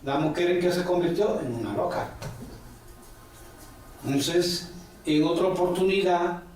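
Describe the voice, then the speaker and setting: A man's voice: sits high, measured pace, darkish tone, recorded from a distance, a little hiss or hum. A man, answering interview questions in long rambling stories full of quoted talk and "ujú" fillers. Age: 40s. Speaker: interview guest, religious elder. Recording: echoey room, a bit off-mic, faint background.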